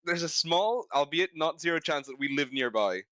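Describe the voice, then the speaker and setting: A man's voice: a little high, animated, normal speed, fairly bright tone, clean tone, no echo. A man joking casually on a group game stream, playful and theatrical, with some swearing. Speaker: guest on a group livestream.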